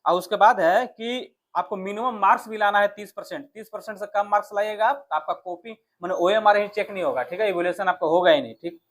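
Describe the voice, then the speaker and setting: High-pitched man with a Bihari accent, coaching. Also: fast, few pauses, fairly bright tone. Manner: conversational, addresses viewers directly, often asks then answers his own questions. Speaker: exam coaching teacher. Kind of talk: informal explanatory exam analysis.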